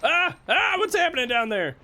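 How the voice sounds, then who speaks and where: A man streaming a horror game live, chatting casually and yelling excitedly at the action. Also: extremely high pitch, moderate pace. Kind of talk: live gameplay commentary.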